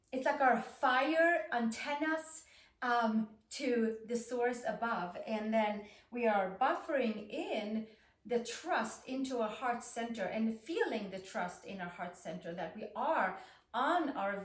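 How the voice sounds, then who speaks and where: A woman with a Swedish accent gently guiding a yoga class, calm and soothing as she talks students through breathing. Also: on the high side. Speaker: yoga instructor. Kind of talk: guided yoga class instruction. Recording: roomy echo, distant mic.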